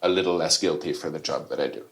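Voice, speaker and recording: A man's voice: extremely low pitch, steady tone, brisk, fairly bright tone. A middle-aged man raised in Rome, a chef and food tour guide, chatting enthusiastically in a podcast interview. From Rome, Italy. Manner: chatty, enthusiastic, casual fillers.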